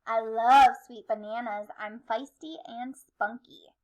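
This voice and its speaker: A woman's voice: on the high side, fairly even intonation, slow, a little mellow, pitched below the performer's own voice, poor audio. A woman voicing a small, feisty, spunky monkey who recites a rhythmic rhyming riddle in a children's storybook. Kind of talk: children's storybook read-aloud.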